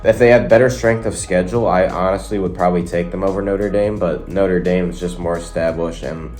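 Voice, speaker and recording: Young dude w a cold, kinda nasally, deep voice, chatty and rambly, soft guitar in back. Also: male voice, fairly even intonation, a little fast. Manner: chatty, rambling, addresses viewers as y'all. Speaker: sports video host. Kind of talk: casual predictions talk to camera. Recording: faint guitar music behind.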